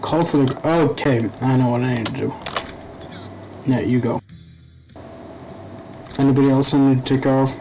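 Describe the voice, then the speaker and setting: A man's voice: mid-range voice, fairly even intonation, darkish tone, slight background noise, rough recording. Rambling young man narrating gameplay. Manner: rambling, halting, profane asides. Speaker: gaming YouTuber. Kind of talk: casual let's-play commentary.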